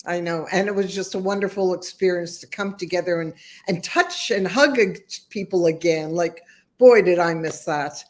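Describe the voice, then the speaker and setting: A woman hosting a casual live-stream interview, talking warmly and effusively with many fillers and restarts. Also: on the low side, normal speed. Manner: warm, effusive, rambling with fillers. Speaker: host.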